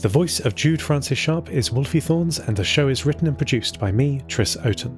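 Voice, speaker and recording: A man's voice: mid-range voice, animated, fairly quick. A man, the show's writer and producer, reading a scripted podcast outro. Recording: guitar music behind the voice. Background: guitar music.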